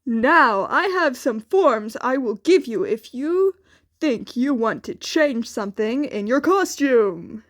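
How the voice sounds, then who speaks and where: A woman voicing a hearty, encouraging male superhero teacher who speaks in a loud, declamatory way. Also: high voice, lively intonation, takes it slowly.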